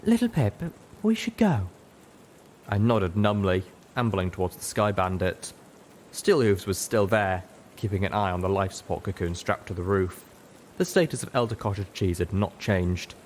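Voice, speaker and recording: A man's voice: low-pitched, steady tone, moderate pace, slight background noise. A man reading a scripted first-person story aloud as an audiobook narrator. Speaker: audiobook reader.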